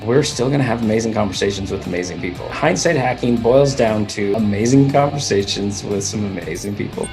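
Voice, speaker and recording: A man's voice: fairly low pitch, brisk, few pauses, over music, rough recording. A man co-hosting a podcast, introducing a guest with stumbling asides and asking questions. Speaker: podcast co-host. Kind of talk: podcast interview.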